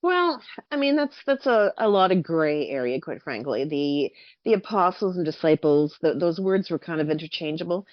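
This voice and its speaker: A woman with a fairly low, slightly hoarse voice lays out her points patiently, often circling back to rephrase. The sound is dry and close with no room around it. The recording is a little dull and lo-fi. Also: expressive, normal speed, no room sound. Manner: explains at length, thinking aloud with frequent self-corrections. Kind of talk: casual explanatory chat.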